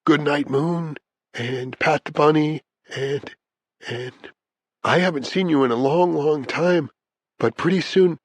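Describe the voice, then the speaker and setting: A man's voice: on the high side, slow pace, slightly rough, dry recording, pitched above the performer's own voice. A man playing an anguished imprisoned father in an audiobook, speaking with raw feeling to his family. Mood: tender, pleading. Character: imprisoned father, anguished, bitter, tender with his small daughter.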